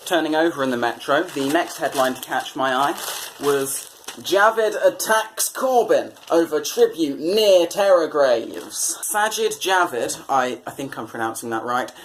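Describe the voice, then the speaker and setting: A man's voice: on the high side, animated, unhurried, a bit bright, slight background noise. A British man presenting satirical commentary on newspapers in a sardonic, mock-exasperated way. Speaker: comedy presenter.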